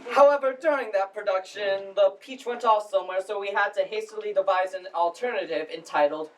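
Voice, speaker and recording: A woman's voice: mid-range voice, a little fast, close to an everyday voice. A woman playing a pompous, earnest drama society director giving a formal comic welcome speech on stage. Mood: awkwardly earnest. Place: school showcase stage, recorded from the audience. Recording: noisy, distant stage recording with clicks.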